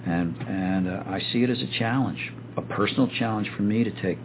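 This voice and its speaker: A man hosting a podcast, reflective in casual chat and quick to laugh. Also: fairly low pitch. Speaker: podcast host. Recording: lo-fi phone-like sound with faint hiss.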